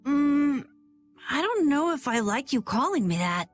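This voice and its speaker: A woman voicing an earnest, self-doubting young boy in an animated role-playing video game. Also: sits high, a little slow. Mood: unsure, bashful. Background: faint background music.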